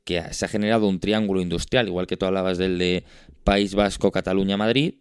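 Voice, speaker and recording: A man with a low, smooth voice and a Castilian Spanish accent talks as a podcast host. His delivery is conversational and explanatory, dotted with tag questions. The sound is dry and close. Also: fairly even intonation, brisk, no echo. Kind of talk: conversational discussion.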